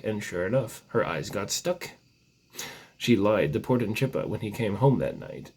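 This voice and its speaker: A man with a husky voice reads a storybook legend aloud as narrator, stretching words with theatrical emphasis. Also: medium pitch, a little mellow.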